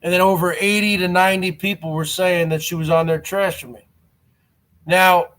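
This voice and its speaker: A man in his forties with a stutter and a high voice hosts a live stream. He talks straight to his viewers and keeps circling back to the same grievance, repeating his words as he goes. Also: flat delivery, moderate pace, a bit bright. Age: 40s. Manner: aggrieved, repeats himself.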